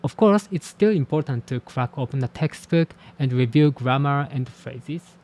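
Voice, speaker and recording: A man's voice: mid-range voice, animated, a little mellow. Candid man. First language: Japanese. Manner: candid, self-deprecating, measured. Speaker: language teacher and channel host. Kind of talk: reflective talk to camera about learning languages.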